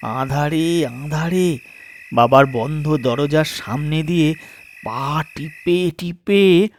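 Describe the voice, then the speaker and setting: A man narrating a scripted comic story in a dramatic, theatrical way with suspenseful pauses. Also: a little high, slight background noise. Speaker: storyteller and channel host.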